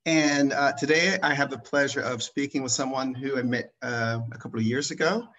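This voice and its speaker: A man's voice: medium pitch, normal speed, fairly bright tone, highs cut off. A man hosting an online interview, chatty and rambling, with frequent restarts. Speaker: interview host. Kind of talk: casual video interview.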